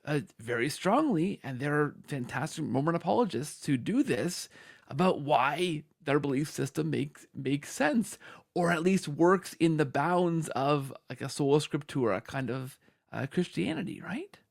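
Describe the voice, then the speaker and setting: A man speaks as a podcast host in a fairly high, creaky voice, recorded close and dry. He discusses conversationally and emphatically, punctuating his points with little check-in questions. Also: animated, a little slow, no room sound. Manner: emphatic, often tags phrases with "right?".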